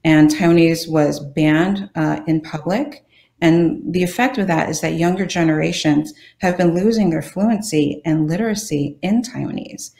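A woman moderating a writers' panel on a video call, introducing the panel in a measured, steady way. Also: sits low, vocal fry. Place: home room, indoors.

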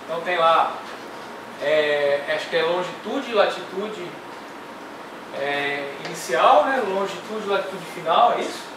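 A man's voice: high voice, lively intonation, a little slow. Man lecturing in an echoey classroom. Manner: explains step by step, ends phrases with "tá?". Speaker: workshop instructor. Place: classroom with projector screen. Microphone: far camera. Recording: echoey room from far off, noisy.

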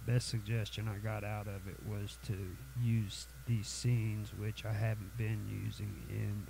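Tutorial host, man, sniffly cold, low gravelly voice, hesitant delivery with lots of ums. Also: background noise. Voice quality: halting, many fillers and restarts. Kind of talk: casual screen-recorded software tutorial.

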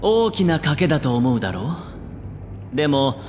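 Suave, theatrical young gambler, lively intonation. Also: male voice, on the high side, moderate pace, a little hiss or hum. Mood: dramatic candour. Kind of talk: scripted anime game voice acting. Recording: long reverb under music. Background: background music.

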